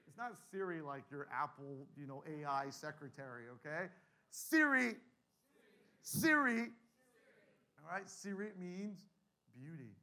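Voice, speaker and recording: A man's voice: a little high, lively intonation, a little slow, long pauses, bright, forceful tone. A man in his forties, a pastor giving a humorous talk to a live audience, joking and calling for replies. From Canada. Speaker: pastor and guest speaker. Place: large room with a live audience.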